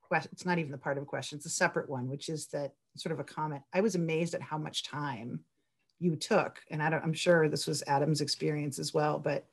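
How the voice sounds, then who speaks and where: A woman with a distinctly low-pitched voice talks on a panel as a writer. She is chatty and effusive, drifting into asides in a casual, conversational way. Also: steady tone, brisk, slightly rough.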